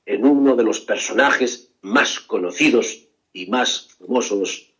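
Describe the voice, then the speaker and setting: A man voicing a flamboyant old male painter, talking with slow, dramatic pauses and grand boasts. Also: lowish voice, a bit bright, pitched below the performer's own voice, poor audio. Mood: boastful. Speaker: radio contributor.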